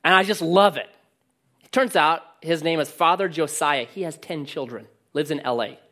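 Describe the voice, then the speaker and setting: A man preaching a sermon with a loud voice that carries, telling stories and cracking jokes. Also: on the high side, normal speed. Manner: animated storytelling with jokes. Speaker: pastor.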